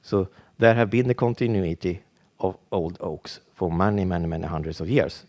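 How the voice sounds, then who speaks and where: A Swedish man with a Swedish accent, giving a conference presentation in English as a second language, halting and full of restarts. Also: low voice, a little mellow. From Sweden. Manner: halting, with frequent restarts and fillers. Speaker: conference speaker.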